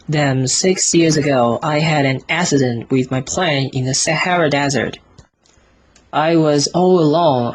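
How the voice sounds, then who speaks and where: A man reads a storybook as scripted audiobook narration, in short, careful, deliberate phrases. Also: fairly high pitch, slight background noise. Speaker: audiobook reader.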